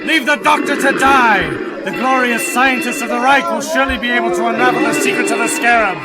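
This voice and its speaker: Man playing a high-pitched fanatical Nazi officer. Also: brisk, loud music behind the voice, over a noisy crowd. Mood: imperious, angry. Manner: haughty, declamatory. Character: arrogant, fanatical Nazi SS officer villain, male. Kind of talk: wartime adventure audio drama acting.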